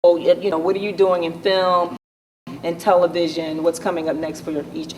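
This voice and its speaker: A woman's voice: lowish voice, flat delivery, normal speed, a little mellow, distant mic, a little hiss or hum, rough recording. An American woman hosting a casual interview, with an affirming way of talking. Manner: affirming, frequent short agreeing interjections. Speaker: interviewer, show host.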